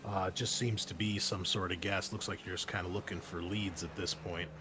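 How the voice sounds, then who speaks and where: A man's voice: deep voice, little pitch movement, a little fast, sharp tone, slight background noise. A man running a tabletop roleplaying game, improvising narration in an informal, hesitant way. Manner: informal, hesitant phrasing with frequent "like". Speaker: game master.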